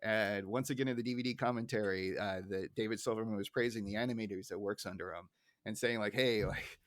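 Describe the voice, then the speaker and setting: A man's voice: fairly low pitch, steady tone, quick pace. A man co-hosting a podcast in casual chat, talkative and self-deprecating as he recaps a cartoon. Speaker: podcast co-host.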